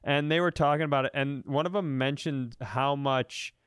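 Smooth-voiced man in a casual comedy chat. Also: mid-range voice, normal speed, a bit bright, dry recording, clean studio sound. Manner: jokey, profane, acts out quoted dialogue. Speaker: podcast co-host.

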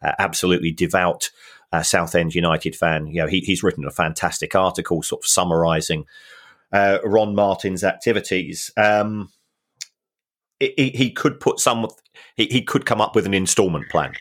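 Low-voiced man, halting dry chat. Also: a bit bright. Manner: halting, many restarts, dry humour. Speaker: football finance expert co-host. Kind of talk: casual podcast discussion.

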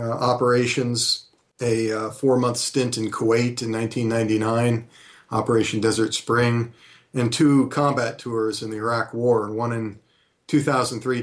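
A man in his fifties from California, a retired army colonel being interviewed, answering in a measured way with frequent hesitant pauses. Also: medium pitch.

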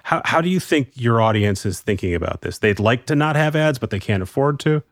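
A man's voice: mid-range voice, expressive, a little fast, dry recording, studio quality. A man interviewing a guest with skeptical, conversational questions.

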